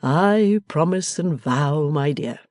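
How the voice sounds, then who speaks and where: A woman reading the part of a timid, fond little father who speaks gently and hesitantly, rambling at times. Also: low-pitched, lively intonation, slow, no room sound, studio quality.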